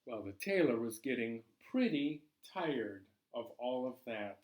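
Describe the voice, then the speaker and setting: A man telling a children's story as a library storyteller, dramatically, with pauses and drawn-out words. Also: mid-range voice, sing-song, darkish tone.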